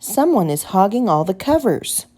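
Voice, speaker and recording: A woman's voice: medium pitch, big pitch swings, normal speed, warm tone, voice pushed up. A woman voicing a grumpy, sleepy male hotel guest who grumbles indignantly in a children's story. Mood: fuming. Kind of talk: children's picture book character voice.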